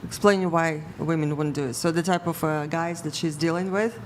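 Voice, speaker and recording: A woman on a podcast panel explaining attachment styles slowly and carefully, with slightly nonnative phrasing. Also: low voice, fairly even intonation, slight background noise. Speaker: panel guest. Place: bright studio with a large panel. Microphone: desk mic on arm.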